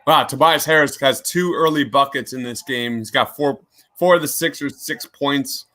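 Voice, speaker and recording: Young dude cohost hyped, reads chat comments, mid voice. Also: male voice, mid-range voice, normal speed, bright, forceful tone. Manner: enthusiastic, reads viewer comments aloud. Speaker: podcast co-host. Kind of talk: live game reaction chat.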